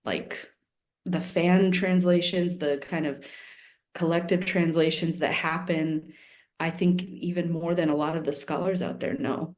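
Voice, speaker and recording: A woman's voice: fairly low pitch, darkish tone. A woman who teaches translation, chatting casually and searching for her words with frequent fillers. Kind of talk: casual group conversation.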